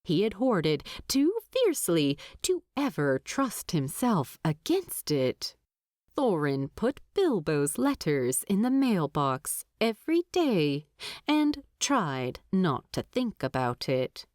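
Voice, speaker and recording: A woman narrating a story from a script in an expressive storytelling style. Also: lowish voice, takes it slowly, dry recording, clean studio sound.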